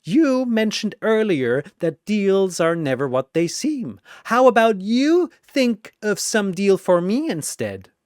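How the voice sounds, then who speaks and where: A gleeful, menacing cartoon dream demon, acted by a man, pitched high with wild swoops. He taunts and mocks theatrically, in a close, dry sound. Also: very animated, slow, few pauses, darkish tone, no room sound, full character voice. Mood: smug menace.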